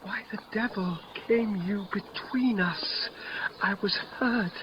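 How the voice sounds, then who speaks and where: An actor playing a young, defiant, bitterly witty duellist in a classical radio drama, sardonic and declamatory. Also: male voice, high-pitched, slow pace, noisy background. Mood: bitter, dying. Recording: dull lo-fi radio drama with music.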